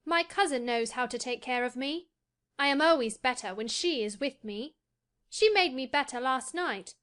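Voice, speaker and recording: Woman voicing a high-pitched, imperious little invalid boy. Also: animated, moderate pace, a bit bright. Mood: self-assured. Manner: haughty, petulant, commanding. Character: imperious, fretful young invalid boy, lordly as a rajah. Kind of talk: audiobook dramatic reading.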